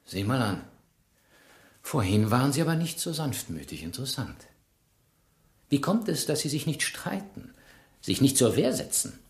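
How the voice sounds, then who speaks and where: A man's voice: mid-range voice, sing-song, normal speed, long pauses. An actor playing a shrewd, relentless French police inspector in a German radio crime drama, ironic and insistent as he questions. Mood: sardonic, probing. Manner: probing, ironic, insistent interrogator.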